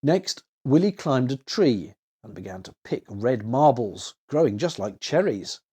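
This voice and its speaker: A man narrating a children's story as an expressive storyteller. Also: medium pitch, measured pace, breathy edge.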